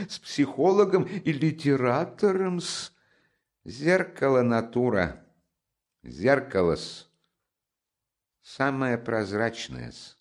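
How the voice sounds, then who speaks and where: A man's voice: on the high side, voice pushed up. Man voicing a sly, fawning investigator. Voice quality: wheedling, fawning, with deferential "-s" endings. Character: fussy, sly middle-aged male investigator. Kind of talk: audiobook character voice.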